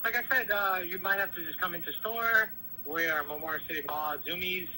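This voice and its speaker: Very bright, high-voiced polite man on speakerphone. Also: a little fast, poor audio. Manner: polite, patient, hesitant. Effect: phone speakerphone. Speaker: store employee. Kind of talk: customer service phone call. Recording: phone speaker picked up, faint noise.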